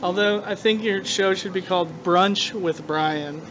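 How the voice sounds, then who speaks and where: A man's voice: sits high, a little slow, crowd noise. A man hosting a casual chat show, talking off the cuff. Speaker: host.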